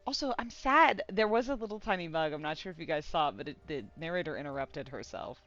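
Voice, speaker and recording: A woman streamer giving casual, giggly, excitable and profane live commentary on a game. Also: deep voice, quick pace, lo-fi sound, faint music behind.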